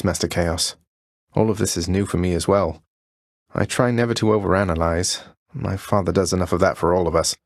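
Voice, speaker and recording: A young underworld prince from a video game, speaks in a low, close, dry-recorded voice. He is cocky yet polite, with an understated, wry delivery. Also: no room sound. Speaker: voice actor playing a video game hero. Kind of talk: video game voice lines, short combat barks and dialogue.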